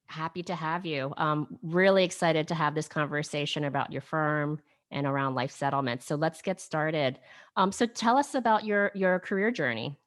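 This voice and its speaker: A woman's voice: sits low, moderate pace. A woman hosting an interview, introducing and putting questions to a guest. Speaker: interview host.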